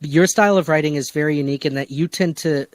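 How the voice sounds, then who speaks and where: A man's voice: a little high, fast talker, dry recording. A man hosting a casual podcast discussion, speaking with an enthusiastic, emphatic manner. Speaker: podcast host and producer.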